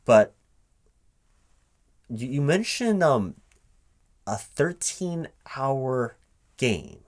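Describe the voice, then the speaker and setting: A man acting as a baffled corporate recruiting manager in an ASMR interview roleplay. His voice is mid-pitched and slow, spoken aloud with halting repeats, leaning on words in polite disbelief. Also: sing-song. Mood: incredulous. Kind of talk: one-sided ASMR roleplay, softly paced but spoken aloud, improvised.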